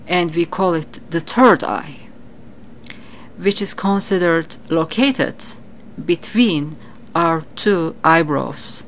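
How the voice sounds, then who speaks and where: A middle-aged woman from Turkey speaks English with a Turkish accent, explaining her ideas slowly and earnestly. Her voice sits a little low, and she often repeats a word before going on. A faint hiss runs under the recording. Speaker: psychic guest. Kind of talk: interview answers.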